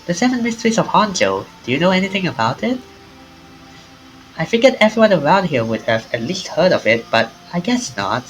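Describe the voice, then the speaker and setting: A man's voice: high voice, sing-song, brisk, faint music behind, voice pushed up, poor audio. A man voicing a playful, eager young woman who hunts occult legends in a visual novel.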